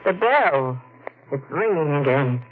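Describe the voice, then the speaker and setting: A woman's voice: deep voice, lively intonation, slow pace. An actress playing an anxious, pleading servant woman with a conscience, in 1940s radio thriller style. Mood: nervous. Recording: tinny, phone-like 1940s radio recording.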